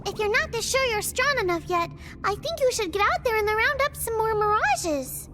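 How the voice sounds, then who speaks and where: A tiny, excitable creature with an extremely high, squeaky child's voice. It talks in a quirky, slightly garbled way, as a video game sidekick, with loud music behind it. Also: female voice, moderate pace. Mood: advising. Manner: quirky speech with misplaced "the". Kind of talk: animated video game voice acting.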